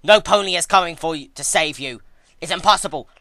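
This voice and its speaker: A storyteller plays an indignant young pony villainess, frantic and accusing. The voice is pushed up high and hard, with sharp, forceful outbursts in a dry story recording. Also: a little fast, gravelly, no room sound. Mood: menacing, furious.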